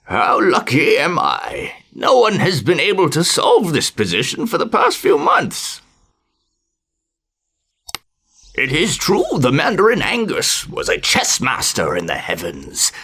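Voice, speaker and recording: A man playing an old, deferential immortal courtier with a theatrical, courtly manner in an animated bedtime story. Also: mid-range voice, moderate pace, hardly any pauses, breathy edge, full character voice. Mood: delighted.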